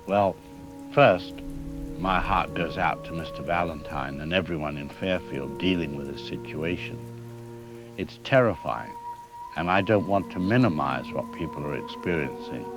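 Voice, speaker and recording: Actor as a deep-voiced, cautious government doctor. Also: male voice, normal speed, music in the background. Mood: guarded concern. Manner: careful, diplomatic, official. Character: cautious, measured male government doctor giving expert comment. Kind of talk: radio interview in an audio drama.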